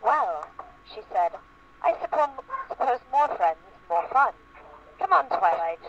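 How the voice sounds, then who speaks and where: A woman's voice: a little high, very animated, unhurried. A woman narrating fan fiction as a comedic dramatic reading, voicing bits of dialogue along the way. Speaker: reader. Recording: lo-fi radio-like sound, faint noise.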